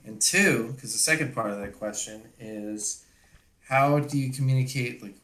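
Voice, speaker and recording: A man's voice: medium pitch, moderate pace, a bit bright. A man casually asking technical questions as a panelist on a stream. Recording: roomy, echoing microphone sound.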